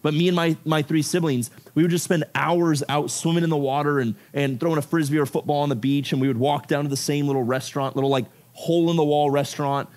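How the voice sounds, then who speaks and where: A man preaching to high schoolers in a room of about fifty, his voice sitting a little high. He grew up in Ecuador. His delivery is loose and conversational, peppered with "like" and quick check-ins of "right?". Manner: casual, animated, filler-heavy with frequent "like" and "right?". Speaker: youth pastor. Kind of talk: youth sermon. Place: youth service room with about fifty people.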